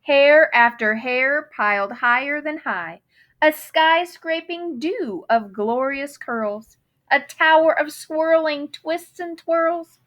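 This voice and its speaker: A woman's voice: high-pitched, lively intonation, takes it slowly, fairly bright tone. A woman reading a children's picture book aloud in a theatrical manner, savoring the rhymes and wordplay with dramatic emphasis. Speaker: storybook reader.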